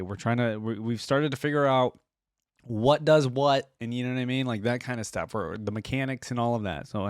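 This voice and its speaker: A man's voice: mid-range voice, vocal fry, no room sound, studio quality. A man giving casual reaction commentary as a video host, informal and curious.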